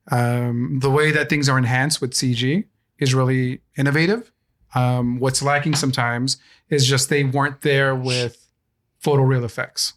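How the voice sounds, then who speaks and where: A man co-hosting a podcast, giving rambling, halting opinions with wry asides. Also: mid-range voice, a bit bright. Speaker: podcast co-host. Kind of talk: casual podcast chat.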